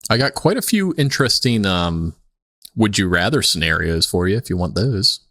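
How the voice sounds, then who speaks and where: A man co-hosting a podcast with casual, comedic chat, rambling and halting as he tells stories. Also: sits low, sing-song, fairly bright tone, dry recording, clean studio sound. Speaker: podcast co-host.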